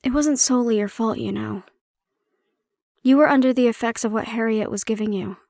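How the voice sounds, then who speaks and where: A woman playing a prickly but caring handler in an intimate audio roleplay, speaking in a measured, probing way. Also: on the high side, a little mellow, no room sound, studio quality, close to an everyday voice. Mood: gentle, sympathetic. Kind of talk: intimate audio roleplay acting, one-sided conversation.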